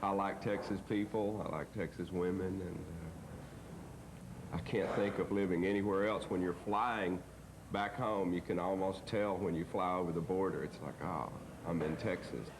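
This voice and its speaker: A man's voice: low-pitched, steady tone, moderate pace, background noise. A man talking casually and plainly in an interview.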